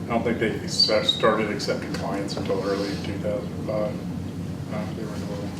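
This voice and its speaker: A man's voice: on the low side, monotone, brisk. A man addressing a city council as a guest, speaking casually. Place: large echoey council chamber. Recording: echoey hall, distant mic, loud noise.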